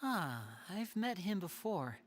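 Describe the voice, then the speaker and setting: An actor voicing an earnest, soft-spoken young man who recounts things in a measured, expressive way in an anime game. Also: high-pitched, slight background noise, heavily acted. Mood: wistful.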